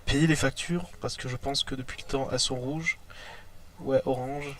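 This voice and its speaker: A man's voice: mid-range voice, steady tone, normal speed, a bit bright. A man with a cold and a congested throat, chatting casually as he plays a life-simulation game and talks to his sim and his viewers. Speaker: let's play host. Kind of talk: casual gameplay commentary.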